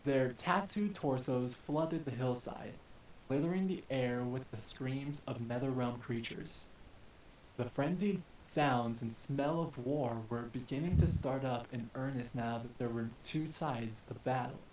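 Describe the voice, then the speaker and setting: A man narrating an action story dramatically, with a deliberate, literary reading style. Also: mid-range voice, a little mellow. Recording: echoey, distant mic with hiss.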